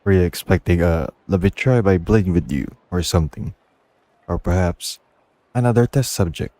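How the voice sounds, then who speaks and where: A man with a very low voice reacts casually to a game as a commentator. The sound is dry and close. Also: moderate pace, warm tone, no room sound. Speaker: gameplay commentator.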